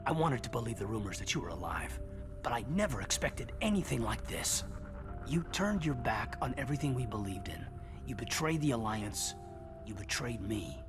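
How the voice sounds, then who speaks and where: A man's voice: medium pitch, very animated, normal speed, over music, close to an everyday voice. A man playing an earnest, hurt and accusing loyal soldier in a dramatic video game scene. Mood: accusing, upset.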